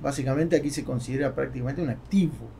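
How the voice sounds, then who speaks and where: Argentine man with a gravelly voice. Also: mid-range voice, fast talker, dark tone, slight background noise. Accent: Argentine Spanish. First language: Spanish. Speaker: podcast guest, entrepreneur. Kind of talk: conversational interview. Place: small foam-treated podcast studio. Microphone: close podcast microphone on boom arm.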